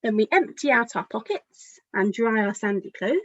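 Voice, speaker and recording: A woman's voice: a little high, expressive, normal speed, a bit bright, dry recording, lo-fi sound. A woman children's author reading a picture book aloud expressively, speaking directly to young listeners.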